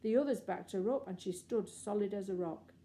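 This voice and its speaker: A woman's voice: medium pitch, brisk, mellow. A woman narrating a children's story expressively, as an audiobook reader.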